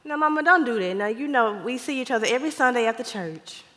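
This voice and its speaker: Actress as high-pitched, exasperated American daughter. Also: female voice, lively intonation, fast talker, fairly bright tone. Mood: defensive, troubled. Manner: emphatic, dramatic pleading. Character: exasperated, confused grown daughter and frustrated wife. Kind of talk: stage play acting. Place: live stage in a reverberant hall. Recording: echoey theater hall.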